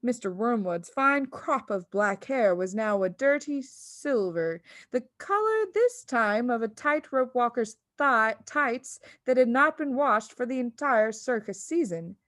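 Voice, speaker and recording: A woman reading a children's story aloud as an expressive narrator. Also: on the high side, measured pace, hardly any pauses, no room sound, clean studio sound. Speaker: storybook reader.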